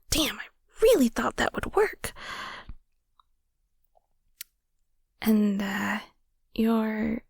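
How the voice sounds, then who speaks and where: A woman voicing a playful, easygoing, easily flustered winged mistletoe sprite in an intimate audio roleplay, with casual, halting, quippy rambling. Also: a little high, gravelly, dry recording, clean studio sound. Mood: flustered, bluffing.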